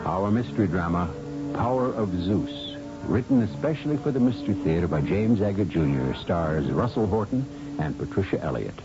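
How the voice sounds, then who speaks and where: A man's voice: sits low, expressive, moderate pace. A man hosting a radio drama with scripted, theatrical narration. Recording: muffled old radio broadcast. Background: prominent music.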